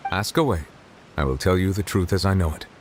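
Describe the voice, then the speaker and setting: A man voicing a solemn, dignified dragon who serves as chief judge in a video game, speaking in a formal, measured, grave manner. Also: low voice, background noise, natural voice. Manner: formal, measured, eloquent, reserved. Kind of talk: video game character dialogue. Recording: game dialogue over background music.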